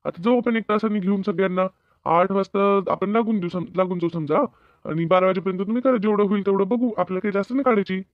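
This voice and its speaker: A man's voice: high voice, quick pace, dry recording, lightly acted. A man playing a bossy, practical farmer husband in a comedy cartoon, insistent and colloquial, with a rural Maharashtrian accent. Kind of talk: animated comedy cartoon dialogue. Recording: narrow phone-like band, dry.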